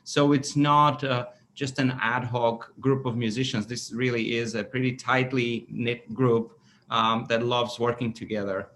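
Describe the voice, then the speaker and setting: Halting man over noticeable background noise. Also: mid-range voice, fairly even intonation, a bit bright. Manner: halting, stammering, searching for words. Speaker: orchestra conductor. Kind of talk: interview talk.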